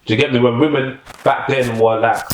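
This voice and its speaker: A man's voice: medium pitch, fairly even intonation, brisk, echoey room, poor audio. A man in a casual podcast debate, blunt and insistent, checking if others follow him. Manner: blunt, keeps asking "do you get me". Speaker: podcast panelist.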